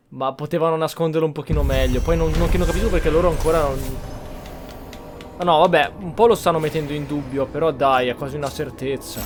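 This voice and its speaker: A man's voice: on the high side, background noise. An Italian man giving casual, blunt, colloquial commentary as he plays a video game. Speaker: gaming streamer.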